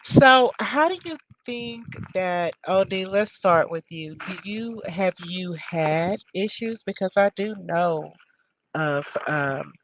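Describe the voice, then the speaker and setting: A woman's voice: on the low side, expressive, slow pace, slight background noise, poor audio. A middle-aged woman hosting a talk show over a video call, talking casually and hunting for words with lots of fillers. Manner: rambling, searching for words with many fillers.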